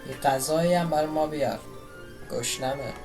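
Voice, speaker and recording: A man's voice: fairly high pitch, rapid-fire. A man giving casual commentary as he streams a video game. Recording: loud game music behind. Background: video game music and dialogue.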